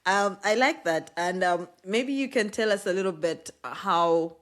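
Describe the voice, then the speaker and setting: A woman from East Africa hosts a relaxed podcast interview. Her voice sits a little low, and she talks in a chatty, storytelling way, laughing often. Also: unhurried. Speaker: podcast host. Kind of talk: casual interview chat.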